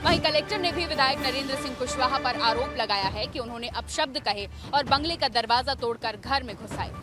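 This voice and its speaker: High-pitched woman reading news. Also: fairly quick. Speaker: news reporter. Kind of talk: scripted news narration. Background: music bed.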